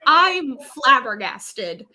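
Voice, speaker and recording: Bright-voiced woman chatting emphatically. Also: high voice, very animated, very slow. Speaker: book reviewer co-hosting a live readalong chat. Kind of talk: casual chat.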